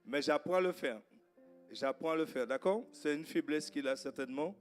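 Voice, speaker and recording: Halting Guadeloupean preacher with a raised voice. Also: male voice, on the high side, soft background music. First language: Guadeloupean Creole. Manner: halting, with many fillers and restarts. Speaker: guest preacher. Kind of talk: informal welcome before a sermon. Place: church service.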